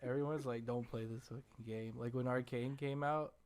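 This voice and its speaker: A man's voice: mid-range voice, steady tone, normal speed, darkish tone. A man co-hosting a casual podcast, bantering informally with jokes and swearing. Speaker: podcast co-host. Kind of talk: casual banter about video games.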